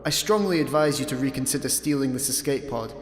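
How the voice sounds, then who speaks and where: An actor playing a droll, pedantic shipboard computer in a sci-fi comedy radio play, dry and literal. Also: male voice, mid-range voice, quick pace, close to an everyday voice. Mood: deadpan menace. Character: droll, pedantic, sarcastic male shipboard computer in an escape pod. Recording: echoey, long reverb on voice.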